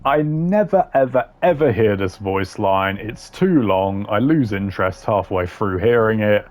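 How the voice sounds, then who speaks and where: A man with a fairly low voice ranks game lines in casual commentary. He is blunt and opinionated, using plenty of slang as he passes judgement. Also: lively intonation, unhurried, darkish tone. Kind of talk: casual tier-list commentary.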